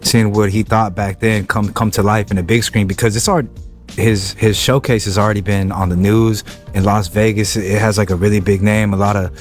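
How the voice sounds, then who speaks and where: Low-voiced young man, halting and repetitive. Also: fairly even intonation, faint music behind. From Wilmington, California. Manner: halting, many repeated words and restarts. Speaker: guest rapper. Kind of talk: casual interview chat.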